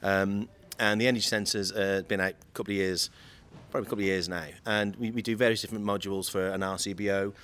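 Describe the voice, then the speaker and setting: A man in his late forties with a slightly croaky voice, chatting as a guest on a podcast and often repeating words while he searches for them. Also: fairly low pitch, fairly even intonation, fast talker, hardly any pauses, a bit bright. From United Kingdom. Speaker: guest from an electrical equipment maker. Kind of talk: casual podcast chat.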